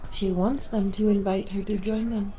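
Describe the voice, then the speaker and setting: Woman doing clinical alien scientist lady, sci-fi roleplay, soft dark tone, super formal n precise, pedantic. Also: medium pitch, little pitch movement, moderate pace, natural voice. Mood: clinical, dry. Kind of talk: ASMR sci-fi audio roleplay.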